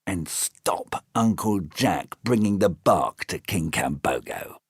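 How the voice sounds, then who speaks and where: A man's voice: low voice, expressive, slow, slightly rough, no room sound, clean studio sound, full character voice. A man doing sly whispering villains. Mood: hushed scheming. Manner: sly, hushed and plotting. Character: two scheming bad men, adult villains.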